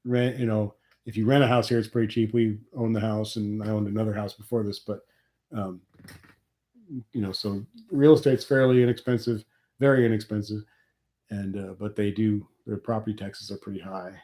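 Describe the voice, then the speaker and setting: A man's voice: lowish voice, fairly even intonation, normal speed, mellow. A middle-aged man from Nebraska, sniffly from a cold, complaining wryly in a rambling live chat with his viewers. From Nebraska, USA. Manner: rambling, wry complaining about taxes. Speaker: livestream host.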